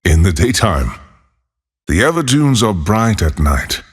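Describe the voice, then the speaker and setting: An actor playing a solitary, haunted former monk turned lawless desert wanderer in a video game, speaking in a solemn, measured, husky voice. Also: male voice, fairly low pitch, sing-song, full character voice. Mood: weary, reflective. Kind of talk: scripted game character voicelines.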